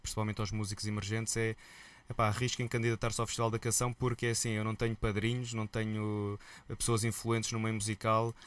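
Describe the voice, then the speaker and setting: A man with a European Portuguese accent, a musician guest telling stories in a relaxed, colloquial interview chat. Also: fairly low pitch, quick pace. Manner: lively storyteller, relaxed and colloquial.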